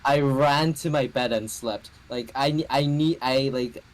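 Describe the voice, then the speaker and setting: A man's voice: medium pitch, unhurried, poor audio. A young man co-hosting a casual podcast, telling a story in a hesitant, filler-heavy way with many restarts. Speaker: podcast co-host. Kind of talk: casual chat.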